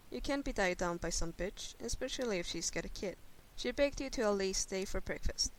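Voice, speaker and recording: A woman's voice: mid-range voice, low-quality recording. A woman giving an informal dramatic reading of a story in a brash, sweary, conversational way. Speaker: guest reader of fan fiction. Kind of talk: informal dramatic reading, second-person narration. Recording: hissy home recording, faint noise.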